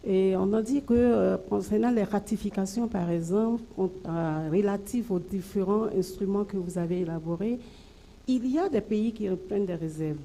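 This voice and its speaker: A woman's voice: mid-range voice, a little fast, dark tone, slight background noise, low-quality recording. A woman from Côte d'Ivoire who leads a women's organisation, putting an earnest, pressing question to a panel from the floor. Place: conference hall.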